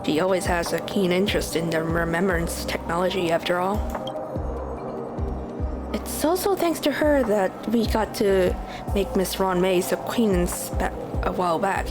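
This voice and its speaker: A woman's voice: on the low side, normal speed, lightly acted. A woman voicing a composed, thoughtful space traveller as she reads video game dialogue aloud. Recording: game music behind.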